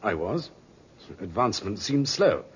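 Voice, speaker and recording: A courteous private eye in a vintage radio mystery. His low voice rises and falls briskly as he probes in clipped phrases, on a dull, faintly hissy recording. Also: big pitch swings, husky, full character voice. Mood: businesslike, probing. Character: businesslike, polite private detective, formerly a policeman. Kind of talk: 1950s radio drama acting.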